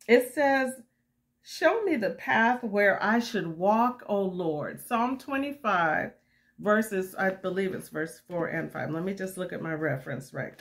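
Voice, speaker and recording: Middle-aged woman w/ a bit of a cold, kinda raspy, chatty devotional teaching, loose and candid. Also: medium pitch. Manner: candid, unscripted, repeats and restarts. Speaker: women's ministry host.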